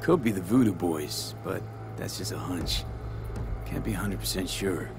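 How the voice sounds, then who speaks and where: An actor playing a laconic, cool-headed streetwise cyberpunk mercenary in a video game, speaking casually and matter-of-factly. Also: male voice, low-pitched, animated, normal speed, vocal fry, music in the background. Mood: cool, pondering. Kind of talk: video game dramatic acting.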